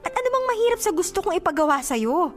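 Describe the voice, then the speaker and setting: A woman's voice: very high voice, sing-song, quick pace, faint music behind. An actress playing a hurt, jealous young girlfriend in a radio drama, sulky, exasperated and insistent in her reproaches. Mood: jealous, reproachful.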